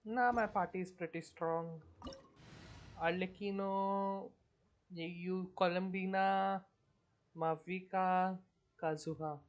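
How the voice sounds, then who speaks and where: A woman streamer giving casual, low-key gameplay commentary, thinking aloud as she plays. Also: fairly even intonation, takes it slowly, long pauses, lo-fi sound. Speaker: game streamer. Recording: faint game noise behind voice. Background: game sound.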